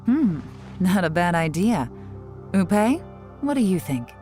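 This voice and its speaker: Low-voiced, cunning woman spymaster. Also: big pitch swings, takes it slowly, warm tone, soft background music. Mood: sly, probing. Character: poised, cunning woman intelligence agent, coolly in command. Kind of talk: video game voice acting.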